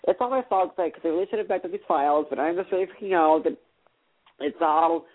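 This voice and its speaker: A man's voice: on the high side, fast talker. A young man co-hosting a casual chat show, rambling anxiously with lots of restarts and fillers. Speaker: podcast co-host. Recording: tinny phone-like sound.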